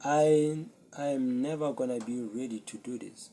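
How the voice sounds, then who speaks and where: A young man, a Zulu speaker, hosting his own podcast and talking earnestly and reflectively to a camera with many pauses. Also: mid-range voice, mellow. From South Africa. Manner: slow, earnest, self-searching, with pauses. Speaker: podcast host. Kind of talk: solo reflective talk to camera.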